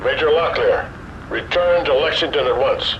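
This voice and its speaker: Calm, authoritative admiral for a war game cutscene, played by a man, faint noise behind. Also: medium pitch, moderate pace, muffled recording, close to an everyday voice. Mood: commanding. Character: senior male naval admiral, calm and commanding.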